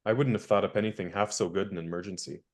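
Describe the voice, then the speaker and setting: An actor playing a genial, gallant young gentleman adventurer in a radio play, courteous and wry in measured speech. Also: on the low side, close to an everyday voice.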